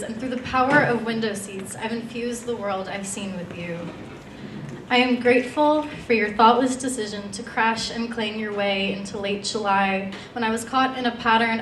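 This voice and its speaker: Young female slam poet, slightly high voice, recorded from a distance in a roomy space. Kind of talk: spoken-word poetry performance. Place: stage in an indoor event room. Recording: echoey room, distant mic, faint noise.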